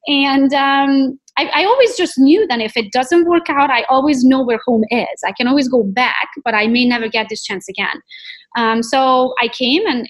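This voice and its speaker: High-voiced Romanian woman, chatty and candid. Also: low-quality recording. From Transylvania, Romania. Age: 30s. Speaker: podcast guest, realtor. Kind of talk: conversational personal storytelling.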